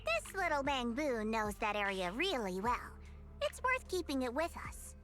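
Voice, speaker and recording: A woman's voice: fairly high pitch, sing-song, normal speed, a bit bright, soft background music. An actress playing a composed, practical, agreeable young woman in an anime-style game. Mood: matter-of-fact.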